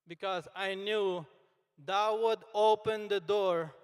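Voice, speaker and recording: A high-voiced young man from Afghanistan, a native Dari speaker, addresses graduates at an unhurried pace and in an earnest, halting manner. His voice is smooth and clear, and it carries a long reverb through a large hall. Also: fairly even intonation. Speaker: commencement speaker. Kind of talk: speech to graduates.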